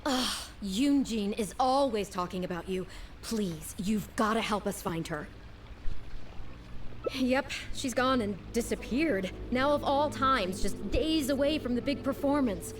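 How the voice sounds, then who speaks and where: A woman's voice: fairly high pitch, lively intonation, a little slow, distant mic, background noise. An actress playing a flustered, exasperated opera troupe manager in a video game, urgent and fretful as she complains. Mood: exasperated, pleading. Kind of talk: English video game voice acting.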